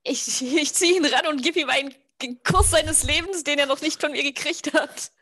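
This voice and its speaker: Bright, higher-pitched woman role-player. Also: moderate pace. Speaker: player in a role-playing game. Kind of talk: casual in-character role-play description. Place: room at home, online video call.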